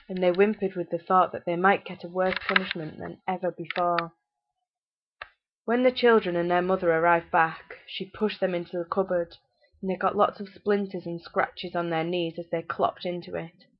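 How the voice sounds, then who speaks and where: Low-voiced British woman telling a fairytale. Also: highs cut off. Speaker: storyteller. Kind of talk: fairytale narration.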